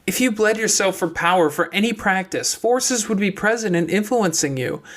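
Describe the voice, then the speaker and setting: An earnest young woman as a male narrator plays her in an audiobook story. Her pitch is lifted well up, and she is probing and persistent, like an advocate. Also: expressive, moderate pace, a bit bright. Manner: probing, insistent.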